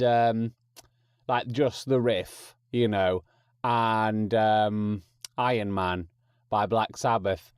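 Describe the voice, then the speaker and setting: Man, podcast host, mid-pitched voice, dry studio-like sound, wry and self-deprecating, scripted with rambling asides. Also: darkish tone, no room sound. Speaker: podcast host and audio producer.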